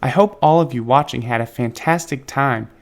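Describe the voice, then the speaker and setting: A man reading a picture book aloud to children with expressive, dramatic phrasing. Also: medium pitch, normal speed. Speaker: children's book reader and channel host. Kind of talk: expressive storybook read-aloud.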